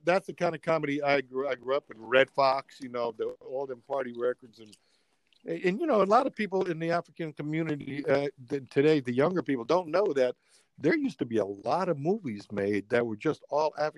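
An older man from Italy tells stories in a loose, animated way, stumbling over restarts and fillers. His voice sits a little high, and the sound is dry and close. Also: fairly quick, no room sound, poor audio. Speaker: comedian guest. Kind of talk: casual storytelling chat.

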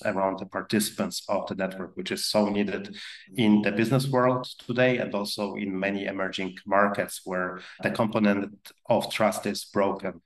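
A man with a Polish accent, a guest answering interview questions in a brisk, earnest way with occasional fillers. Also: lowish voice. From Poland. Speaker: guest, blockchain executive. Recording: roomy echo.

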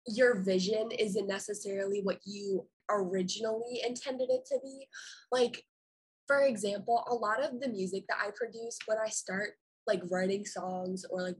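Young woman, husky, loose rambling chat delivery. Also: mid-range voice, rough recording. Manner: rambling, many "like" fillers. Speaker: songwriter in a discussion. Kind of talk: casual chat.

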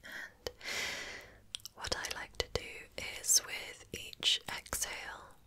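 A woman whispering softly and breathily as she gently guides a relaxation ASMR session, soothing and unhurried. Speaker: ASMR host guiding relaxation.

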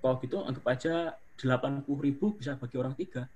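A young man from Indonesia, studying abroad, chatting casually as an interview guest with a relaxed, rambling manner. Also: medium pitch, fast talker, breathy edge. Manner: relaxed, chatty, hedging with fillers.

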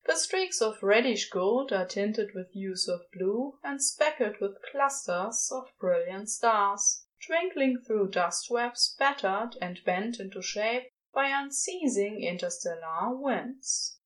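A woman's voice: medium pitch, lively intonation, slow pace, few pauses, poor audio. A woman reading a story aloud as a scripted fiction narrator. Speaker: podfic narrator.

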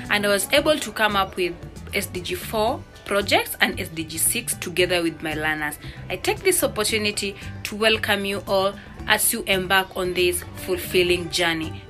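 A woman's voice: medium pitch, a bit bright. A woman from Kenya, a teacher and facilitator, speaking in a formal, welcoming way. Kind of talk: spoken introduction.

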